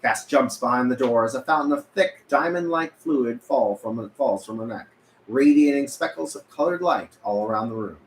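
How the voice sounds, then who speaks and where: A man's voice: mid-range voice, normal speed. Echoey male narrator of a screenplay. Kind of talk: scripted narration at a table read. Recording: echoey room, faint background noise.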